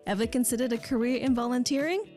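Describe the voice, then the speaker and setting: A woman's voice: a little high, quick pace, a little mellow, faint music behind. A woman hosting a podcast, reading a scripted introduction and asking interview questions in a friendly, encouraging way. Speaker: podcast host.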